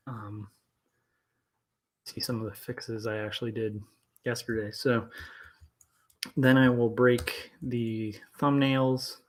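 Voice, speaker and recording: A man in his thirties, a comic artist chatting casually on a live stream, talking quietly and loosely so he won't wake his household. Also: fairly low pitch. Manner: soft-spoken, keeping his voice down late at night; loose and rambling, full of likes and ums. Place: home studio at night.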